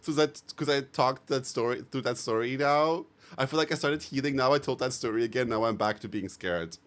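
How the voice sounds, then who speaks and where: A man streaming live, chatting casually with viewers in an animated way, swearing freely and laughing often. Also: medium pitch, a little fast.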